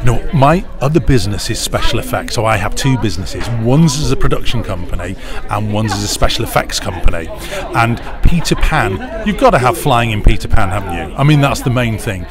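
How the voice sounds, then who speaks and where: A middle-aged British man giving interview answers in a chatty, enthusiastic storytelling way. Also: medium pitch. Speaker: pantomime producer, interviewee. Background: music clearly audible.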